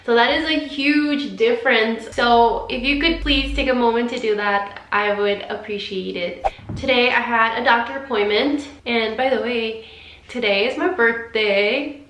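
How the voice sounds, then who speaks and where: A woman's voice: a little high, unhurried, a bit bright. A woman in her thirties talking candidly and emotionally in a personal vlog, rambling a little as she shares her feelings. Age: 30s. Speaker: vlogger. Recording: roomy sound with faint noise.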